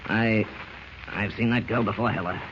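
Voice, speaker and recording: An actor playing a wary master criminal in an old radio drama, speaking in a guarded, terse way. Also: male voice, lowish voice, fairly even intonation, normal speed, close to an everyday voice. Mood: wary, puzzled. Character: wary male master criminal, escaped convict. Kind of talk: 1940s radio drama acting. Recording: dull, noisy old radio recording.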